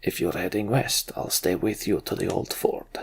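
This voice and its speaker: A man voicing a grim, centuries-old giant warrior from a fantasy game, bitter and blunt, with a gravelly, husky voice. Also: low-pitched, fairly even intonation, normal speed, voice pushed down, exaggerated voice. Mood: menacing, brooding.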